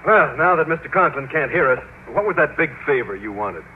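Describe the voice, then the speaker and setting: A man's voice: fairly high pitch, very animated, fairly quick. An actor playing an earnest, mild, polite male science teacher in an old-time radio sitcom. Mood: agreeable. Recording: noisy tinny old radio recording.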